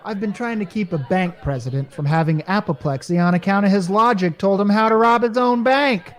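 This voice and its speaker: Man acting a high-voiced, wisecracking weary repairman. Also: brisk, natural voice. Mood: weary, irritated. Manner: sarcastic, exasperated, slangy. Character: weary, wisecracking working-class male repairman. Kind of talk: radio comedy acting.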